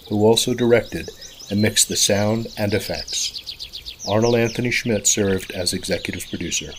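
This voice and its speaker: A man announces the closing credits of a radio play from a script. His voice is fairly low, and birdsong with some noise runs behind him.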